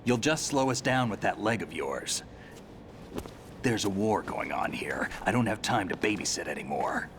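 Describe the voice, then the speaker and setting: Terse, deep-voiced veteran soldier. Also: male voice, expressive, normal speed, vocal fry, background noise. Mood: brusque. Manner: terse, commanding. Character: gruff, confident male veteran soldier. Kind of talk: video game cutscene acting.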